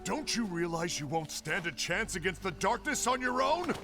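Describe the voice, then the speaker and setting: High-voiced, intense fantasy game leader. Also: male voice, sing-song, moderate pace. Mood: indignant, urgent. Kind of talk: dramatic video game cutscene dialogue. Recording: game audio with music behind.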